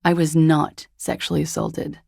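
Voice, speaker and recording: An actress playing a flippant, worldly, evasive young woman in a video game, speaking with a languid, deadpan wryness. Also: sits low, normal speed, gravelly, dry recording, studio quality, exaggerated voice. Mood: cool, flippant. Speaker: video game character voice actor. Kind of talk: video game dialogue acting.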